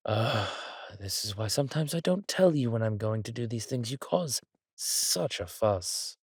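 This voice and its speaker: A man playing an arrogant, petulant villain king in an audio drama, with a drawling, theatrical, sardonic delivery and a breathy, husky voice. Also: mid-range voice, animated, unhurried, dry recording, clean studio sound. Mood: defensive, sardonic. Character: arrogant, petulant villain king, cruel yet doting on his consort.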